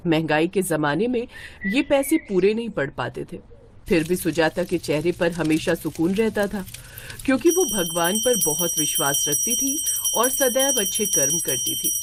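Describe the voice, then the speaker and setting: Female storyteller for scripted moral tales, mid-range pitch, slight background noise. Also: huge pitch swings, normal speed. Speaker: story narrator.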